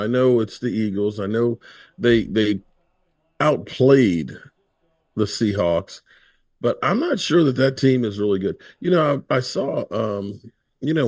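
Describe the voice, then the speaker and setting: A man's voice: lowish voice, a little mellow, dry recording. A man hosting a casual livestream chat, talking in a laid-back, conversational way. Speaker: livestream host.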